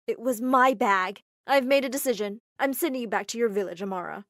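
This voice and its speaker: A haughty, scheming rich matriarch, put on by a woman narrator, who drawls her words slowly in a somewhat high voice. The recording is dry and close, in animated-story style. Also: no room sound. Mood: cold. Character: cold, scheming rich mother, Miss Mercedes.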